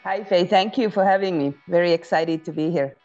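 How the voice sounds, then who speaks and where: A woman in her forties from Finland, a guest in a podcast interview, telling her story calmly and reflectively. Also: lowish voice, a little fast, lo-fi sound, soft background music. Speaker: guest, procurement executive.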